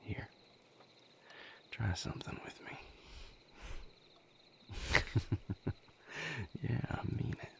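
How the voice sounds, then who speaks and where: A man playing a gentle, patient vampire healer in an intimate audio roleplay, speaking softly and reassuringly in breathy vocal fry with long pauses. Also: fairly low pitch, slight background noise, heavily acted. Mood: tender, encouraging. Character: gentle, patient male vampire healer mentoring his mate.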